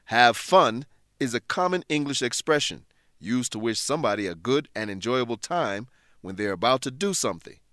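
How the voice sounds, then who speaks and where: A male teacher narrates a scripted English lesson. His medium-pitched voice has very varied intonation, and he explains things in a clear, deliberate way. The recording is dry and free of room sound. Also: expressive, fairly bright tone, no room sound.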